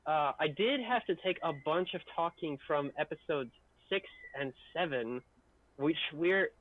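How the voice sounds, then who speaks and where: A man's voice: a little high, expressive, a little slow. A man chatting casually as a video editor and co-host on an online group stream. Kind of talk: casual group chat about editing. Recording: tinny narrow phone-like call line.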